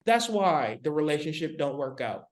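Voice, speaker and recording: A man with a high voice lectures on spirituality over a live stream, recorded on his laptop's mic. His delivery is emphatic and colloquial, circling back over his points and talking straight to the people watching. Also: sing-song, normal speed. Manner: emphatic, repetitive, colloquial, talks straight to viewers. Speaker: spiritual teacher.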